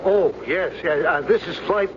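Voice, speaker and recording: Middle-aged American doctor, folksy and wry, higher-pitched, muffled radio drama under loud engine noise. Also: male voice, a little slow. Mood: flustered, anxious. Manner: colloquial, wry, flustered. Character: folksy widowed doctor reluctantly flying a stricken plane.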